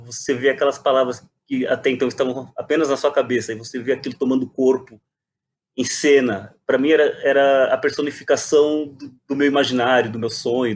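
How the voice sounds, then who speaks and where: A man's voice: medium pitch, slight background noise. A man, telling his story reflectively and animatedly in a conversational interview. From Brazil. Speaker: guest, playwright and poet.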